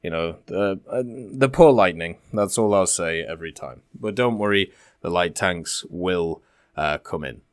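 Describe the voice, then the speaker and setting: A man's voice: deep voice, unhurried, clean tone, no room sound, clean studio sound. A man hosting a gaming video in casual commentary, reading out answers and explaining his take in a conversational way. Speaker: gaming content creator and host.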